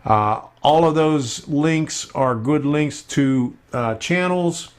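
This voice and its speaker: A man hosting a casual live stream about model railroading, talking slowly with many fillers as he works at his computer. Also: on the high side, clean tone. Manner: slow, unhurried, with many fillers while working on screen.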